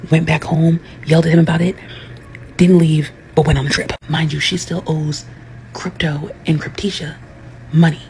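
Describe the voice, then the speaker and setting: A woman's voice: low-pitched, creaky, darkish tone, low-quality recording. A woman recapping a story in a sardonic, rhythmic way. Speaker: commentator.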